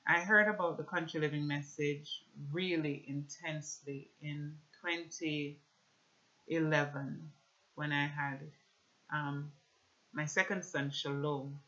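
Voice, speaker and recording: A woman in her thirties with a Jamaican accent gives an earnest, measured religious testimony at home, talking to camera. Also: sits low, bright, forceful tone, muffled recording. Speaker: speaker giving a personal religious testimony. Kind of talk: personal testimony with scripture reading, talking to camera. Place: small indoor room at home with shelves.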